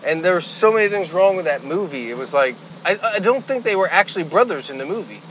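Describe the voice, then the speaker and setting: A man's voice: sits high, animated, quick pace, a bit bright, low-quality recording. Casual man podcasting over faint background noise. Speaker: podcast co-host.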